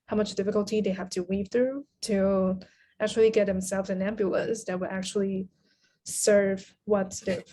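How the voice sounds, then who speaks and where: A woman's voice: mid-range voice, level tone, normal speed, mellow. A woman from Taiwan speaking as a podcast guest in a casual, conversational discussion of a book.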